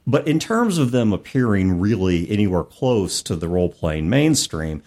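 A man with a low voice that moves up and down a lot as he talks through tabletop gaming. He explains in a casual podcast style, breaking off for rhetorical questions and quick asides. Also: big pitch swings, a little mellow. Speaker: podcast co-host.

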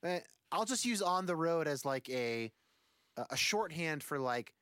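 A man's voice: fairly high pitch, animated, a little slow, fairly bright tone, smooth, no room sound. A man in his forties, a musician guest on a podcast, talking casually in a rambling, thoughtful way with many fillers. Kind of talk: casual conversation.

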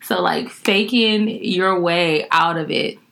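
A woman co-hosting a podcast in casual chat, giggly and a little raspy. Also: lowish voice, slow, fairly bright tone. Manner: giggly, laughs often. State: slightly raspy voice. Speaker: podcast co-host.

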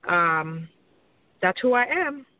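A woman's voice: fairly low pitch, big pitch swings, slow pace. Earnest woman over a phone line. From Nigeria. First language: Yoruba. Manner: earnest, careful, with many ums. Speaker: guest, social worker. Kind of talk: interview answers.

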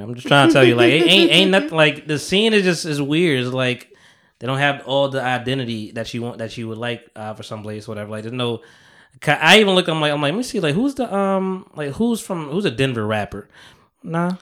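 Smooth-voiced laid-back man in his thirties. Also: mid-range voice, lively intonation, a little fast, a bit bright. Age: 30s. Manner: laid-back, slangy, rambling with many restarts. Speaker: podcast host. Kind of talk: casual chat.

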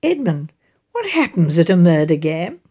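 A woman's voice: fairly low pitch, very animated, moderate pace, mellow, slightly rough, voice pushed down, full character voice. A chatty middle-aged English village mother, as a woman narrator plays her, swoops up and down as she talks. She is decided and exclaiming, every phrase firmly stressed, in an audiobook mystery. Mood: doubtful, curious.

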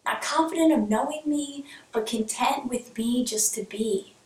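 A woman with a fairly high voice performs spoken word poetry, leaning on rhythm and rhyme and sometimes sinking to a hushed near-whisper. She stands back from the mic, and the room around her rings with echo. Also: a little slow. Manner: rhythmic rhyming delivery, drops to a hush. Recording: echoey room from far off.

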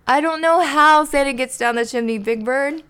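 A woman's voice: medium pitch, moderate pace, vocal fry, smooth, lightly acted. A woman voicing an earnest, apologetic and somewhat hesitant male puppet character in a children's story.

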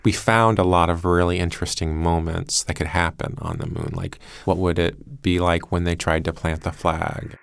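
A man's voice: sits low, little pitch movement, moderate pace, creaky, hardly any pauses. A man who produces a podcast, explaining his work reflectively in an interview with hesitant, thoughtful phrasing.

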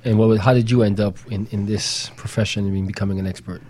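Fairly deep-voiced man co-hosting. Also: fairly even intonation, fast, darkish tone. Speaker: co-host. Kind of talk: casual interview chat.

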